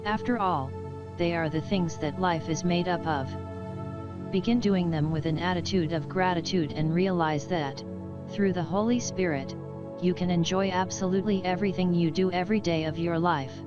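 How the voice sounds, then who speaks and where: A low-pitched woman reads a scripted devotional and prayer. The sound is a little dull and lo-fi, and instrumental music plays clearly behind her voice. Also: fairly even intonation, moderate pace. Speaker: devotional reader. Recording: dull lo-fi audio under music. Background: guitar music.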